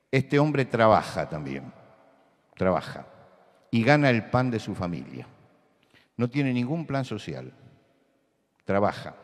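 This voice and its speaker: Rioplatense male preacher, lowish voice, emphatic and repetitive, church hall reverb. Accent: Rioplatense Spanish. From Buenos Aires. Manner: emphatic, repeats key words, rises to loud insistence. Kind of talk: sermon talk with anecdotes.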